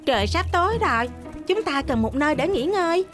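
An earnest young boy hero in a kids' cartoon. The voice is very high and full of concern and questions, with music clearly underneath. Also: animated, fairly quick. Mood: alert. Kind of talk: animated children's cartoon dub. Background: music bed.

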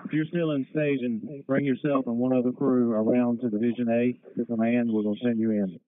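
A man serving as fire incident commander, speaking in measured, procedural radio traffic over a two-way radio. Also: medium pitch, flat delivery. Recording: narrow tinny radio channel with noise and clicks.

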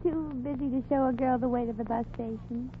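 An actress playing a brassy, flirtatious, quick-witted showgirl in a 1940s radio comedy. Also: on the high side, fairly even intonation, lightly acted. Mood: coy, flirtatious. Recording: muffled, noisy 1940s radio broadcast recording.

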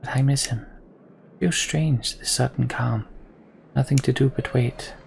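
A man voicing a gentle, spirited woman archer in a fantasy game, soft and wistful. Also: medium pitch, fairly even intonation, unhurried, creaky, slight background noise.

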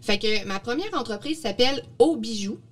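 A woman's voice: a little high, expressive, normal speed. A woman with a Quebec French accent presenting products in a casual, explanatory podcast chat, recorded on a studio microphone. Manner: informal, explanatory. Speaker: presenter of young people's product projects. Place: small indoor podcast studio. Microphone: studio microphone on boom arm.

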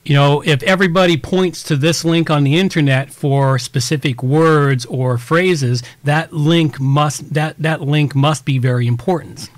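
A man's voice: a little high, steady tone, measured pace, few pauses. A man hosting a tech podcast, explaining things casually in a rambling way with many restarts and everyday examples. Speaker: podcast host.

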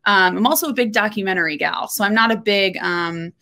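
Bright, chatty young woman podcaster. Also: medium pitch, vocal fry. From Texas. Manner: chatty, candid, quick asides. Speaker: golf podcast co-host. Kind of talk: casual solo talk to camera. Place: room at home.

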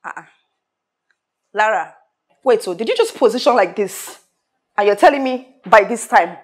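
A woman's voice: mid-range voice, expressive, moderate pace, close to an everyday voice. An actress playing a haughty, hot-tempered rich woman who scolds and repeats her questions emphatically in a film drama. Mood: angry, indignant. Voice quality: loud, shouting when angered. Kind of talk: low-budget film drama acting.

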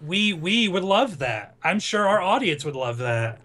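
Wisecracking stream cohost guy, highish lively voice, faint hiss behind. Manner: quick, wisecracking. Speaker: stream co-host. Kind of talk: casual gaming stream banter.

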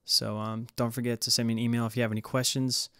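A man's voice: medium pitch, level tone, fast talker, fairly bright tone, clear, resonant tone, no echo. Hesitant man narrating, dry sound. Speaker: music production tutorial presenter. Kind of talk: casual screen-recorded tutorial narration.

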